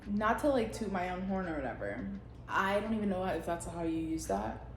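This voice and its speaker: A woman's voice: lowish voice, expressive, moderate pace. Blunt, laughing young woman vlogger. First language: Spanish. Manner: blunt, profane, rambling with frequent laughs. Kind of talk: unscripted casual monologue. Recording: roomy echo.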